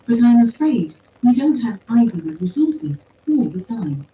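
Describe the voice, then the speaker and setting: A woman voicing a calm, formal machine intelligence in an audiobook story, with precise, measured phrasing. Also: a little high, pitched above the performer's own voice. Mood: politely regretful. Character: calm, coolly logical machine mind speaking through empty suits. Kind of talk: acted audiobook dialogue. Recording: roomy, distant pickup with faint noise.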